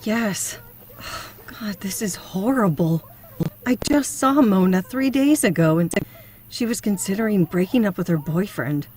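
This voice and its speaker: A woman's voice: medium pitch, expressive, a little slow, a little mellow, natural voice. A woman playing an earnest, principled psychotherapist with a prim, concerned manner in an audio drama. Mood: upset.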